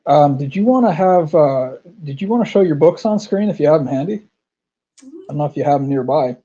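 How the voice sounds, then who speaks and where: Mellow-voiced man hosting an interview. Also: fairly high pitch, fairly quick. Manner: relaxed, repeats words, many fillers. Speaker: podcast host. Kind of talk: casual interview chat. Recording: dull lo-fi sound.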